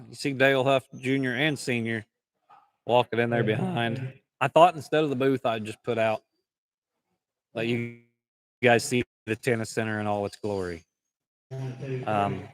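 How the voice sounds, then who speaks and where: A man with a hoarse voice hosting a live event stream in a casual, rambling, folksy way from a packed indoor hall. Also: mid-range voice, a bit bright. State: hoarse from calling out. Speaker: livestream host.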